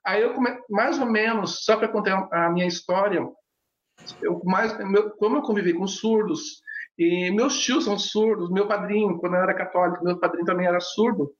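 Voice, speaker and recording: Male pastor, sign-language native, halting and filler-heavy, echoey dull recording. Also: high-pitched. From Brazil. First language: Brazilian Sign Language. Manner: hesitant, many fillers and restarts. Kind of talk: personal storytelling in an interview.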